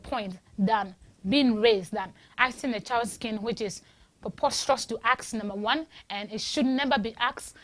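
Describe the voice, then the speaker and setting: Ghana-born woman hosting a call-in show, chatty, restarts a lot, kinda high voice. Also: a bit bright. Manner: chatty, restarts often, emphatic. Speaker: radio show host. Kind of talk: casual call-in discussion.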